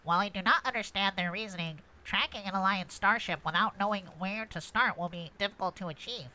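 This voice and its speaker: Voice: medium pitch, brisk, bright, forceful tone, poor audio. An actor playing a precise, literal-minded droid co-pilot in a script table read, with formal, technical phrasing. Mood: matter-of-fact.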